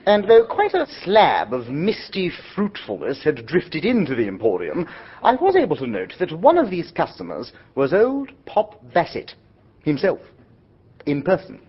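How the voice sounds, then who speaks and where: A jaunty young gentleman in a radio play, his voice swooping wildly up and down. He sounds slightly breathy, and the audio is dull. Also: a little high, huge pitch swings, unhurried, heavily acted. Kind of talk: narration and dialogue in radio drama.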